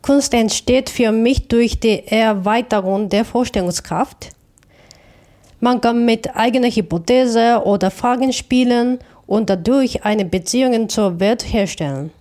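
A woman from Japan with a Japanese accent, giving reflective interview answers in a thoughtful, halting way with self-corrections. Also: a little high, mellow. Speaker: interview guest, artist.

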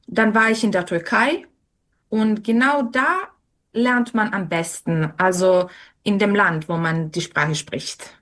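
Slow, hesitant young woman. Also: medium pitch, a bit bright. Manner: many fillers and restarts, thoughtful. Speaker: interviewee. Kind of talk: hesitant conversational answers.

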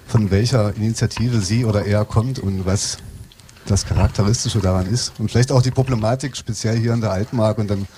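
A man's voice: on the low side, fairly even intonation, fairly quick, dark tone. A man casually hosting a live discussion, with wordy, roundabout phrasing. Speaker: host of a live radio discussion. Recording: some background noise, live event ambience.

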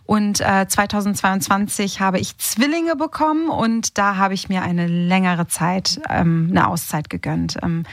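A woman's voice: mid-range voice, a little slow, few pauses, no echo. Casting: female singer as interview guest, mid-range voice, casual and keen, recorded very dry. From Hamburg, Germany. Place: radio studio. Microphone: studio microphone.

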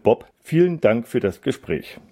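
A man hosting a radio magazine, reading a scripted intro and asking interview questions in a measured way. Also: mid-range voice, sing-song, dark tone. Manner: measured, read-aloud delivery.

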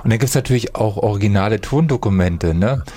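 A man's voice: fairly low pitch, expressive, fast, dark tone. A middle-aged man from Saxony-Anhalt, a radio host telling old stories in casual chat and stepping in to correct his friend. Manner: storytelling, interrupts to correct. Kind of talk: reminiscing chat.

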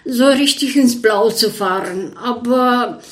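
A woman's voice: fairly high pitch, slow, a bit bright. Hesitant Bulgarian-accented older woman. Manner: hesitant, many fillers, searching for German words. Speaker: orchestra violinist. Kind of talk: interview recollection.

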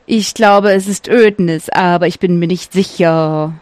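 A woman's voice: fairly low pitch, a little slow, darkish tone, dry recording. A woman co-hosting a casual chat, curious and enthusiastic, asking short questions. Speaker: co-host.

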